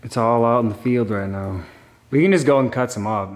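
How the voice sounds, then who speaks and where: A man's voice: mid-range voice, brisk. A man at a film table read, talking through practical matters casually. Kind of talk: casual group discussion.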